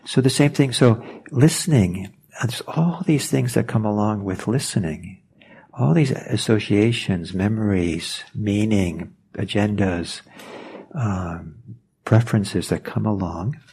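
Low gravelly man, reflective. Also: slow pace, a little mellow. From Norway. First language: Norwegian. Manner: thoughtful, hesitant, searching for words. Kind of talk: reflective spiritual talk.

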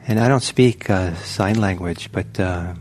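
Slow, gentle meditation teacher, a man. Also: low voice. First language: Norwegian. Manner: gentle, unhurried, halting with many fillers and restarts. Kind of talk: dharma talk leading into guided meditation. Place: online session with a chat.

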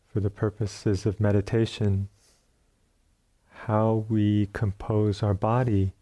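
A man teaching guided meditation in a very soft, dark, relaxed voice. He speaks on the low side and very slowly, leaving long pauses between short phrases.

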